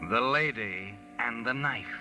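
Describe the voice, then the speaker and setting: An actor playing a knowing, sardonic mystery narrator, telling the story ominously in 1940s radio style. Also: male voice, medium pitch, unhurried, over loud music, natural voice. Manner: ominous, second-person storytelling.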